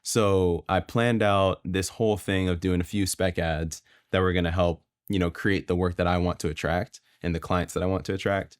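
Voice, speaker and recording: A young man from Florida, a guest videographer telling his story in a casual podcast chat, relaxed and filler-heavy. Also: low voice, moderate pace, hardly any pauses, smooth, no room sound, clean studio sound.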